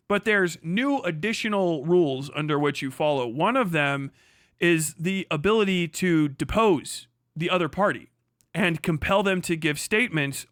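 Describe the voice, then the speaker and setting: A man hosts a loose commentary stream and explains at length, swearing and wandering off on tangents. His voice is bright, pitched a little high, and recorded close and dry. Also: no echo. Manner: profane, animated, rambling explanation. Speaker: streamer host.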